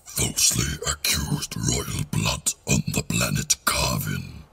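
An actor playing a blunt, menacing female rhino-like alien ex-captain in an audio drama, gravelly and guttural, in clipped broken sentences. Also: a bass voice, slow pace, a bit bright, full character voice. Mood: grudging. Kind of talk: fan audio drama acting.

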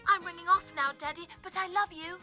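A woman's voice: extremely high pitch, fairly even intonation, fairly quick, pushed and bright, faint music behind, full character voice. A woman voicing a prim, self-assured schoolgirl genius in a cartoon, precise and bookish. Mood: earnest. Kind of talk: cartoon acting.

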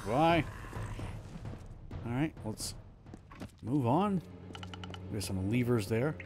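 A man's voice: mid-range voice, lively intonation, slow pace, noisy background. A man giving casual live gameplay commentary, thinking aloud in short, slangy remarks. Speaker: video game streamer. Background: game music.

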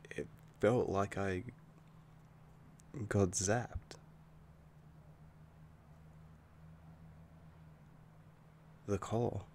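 A man playing an affectionate, protective, easily angered young werewolf boyfriend in an intimate ASMR roleplay, with a hesitant, halting delivery. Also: lowish voice, darkish tone, slight background noise. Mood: shaken. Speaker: roleplay audio performer.

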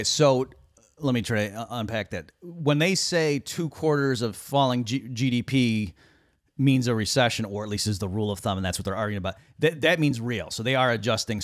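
A middle-aged man talks economics over a video call, close to a studio mic in a small room with a dry sound. His voice sits at an ordinary pitch for a man, but he gets animated and mocks the people he quotes by pushing his voice up. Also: dry recording. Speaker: economist guest. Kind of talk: casual discussion on economics. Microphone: studio mic on a boom arm, video call.

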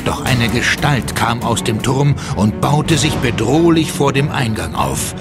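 A man's voice: medium pitch, animated, a little slow, natural voice. A man narrating a horror audio drama as a grave, suspenseful storyteller. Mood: suspenseful, urgent. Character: ominous male storyteller of a horror tale. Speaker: third-person narrator. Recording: loud dramatic music behind.

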